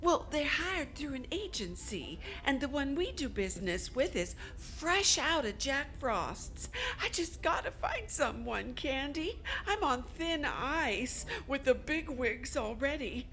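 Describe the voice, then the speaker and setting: Actress as flustered woman store manager, radio play. High, lively swoops, imploring and gushing. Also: takes it slowly, few pauses, soft background music, exaggerated voice, poor audio. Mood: worried. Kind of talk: old-time radio drama acting.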